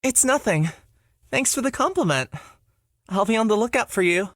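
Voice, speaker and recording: A woman's voice: fairly high pitch, lively intonation, normal speed, no echo, studio quality. A woman voicing a timid, friendly young male cashier in a visual novel, shy and hesitant. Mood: bashful. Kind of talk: visual novel character acting.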